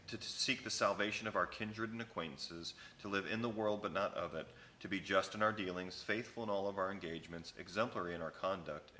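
Pastor guy in his 30s reading a covenant out loud then explaining it, deep voice, kinda bright and forceful. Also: slight background noise. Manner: conversational, explains each passage after reading it, many fillers. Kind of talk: teaching new members, reading a church covenant aloud. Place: empty church sanctuary with wooden pews, indoors. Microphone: camera at close range.

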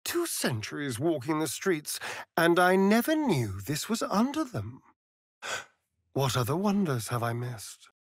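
An actor playing a vain, sardonic, centuries-old vampire spawn in a video game, with an arch, drawling, flamboyant delivery. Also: male voice, a little high, huge pitch swings, measured pace, husky, no echo, clean studio sound, exaggerated voice. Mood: wry, musing. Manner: arch, drawling, swinging from purrs to shrieks. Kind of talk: theatrical video game character acting.

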